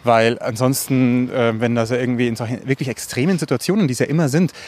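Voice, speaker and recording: A man's voice: medium pitch, fast, a little mellow. A man hosting a casual podcast chat, thinking aloud and addressing his listeners directly as he walks along. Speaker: podcast host. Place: recorded while walking along.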